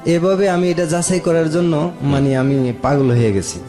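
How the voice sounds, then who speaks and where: A man's voice: fairly high pitch, fairly even intonation, normal speed. A man in his late thirties with a Sylheti-region Bangladeshi accent, telling his own story plainly and respectfully as a radio guest. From rural Moulvibazar, Bangladesh. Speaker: interview guest. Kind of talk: personal storytelling in conversation. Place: radio studio. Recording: music playing behind the voice.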